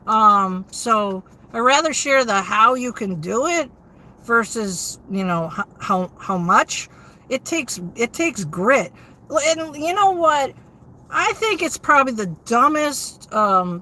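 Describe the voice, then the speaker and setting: A woman, hosting a casual livestream and reading viewer comments in a steady, low-inflection way with frequent ums. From New England. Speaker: livestream host.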